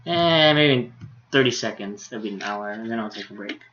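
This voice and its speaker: A man's voice: fairly low pitch, lively intonation, moderate pace, a bit bright, a little hiss or hum. A man streaming a game, reading dialogue aloud with casual, amused asides. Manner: informal, amused. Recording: slightly dull streaming audio.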